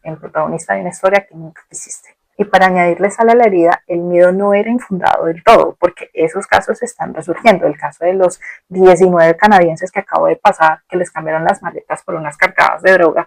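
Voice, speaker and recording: A woman's voice: on the low side, creaky, dry recording, low-quality recording. A woman with a Colombian Spanish accent telling a personal story as a podcast host, in a reflective, conversational and colloquial way.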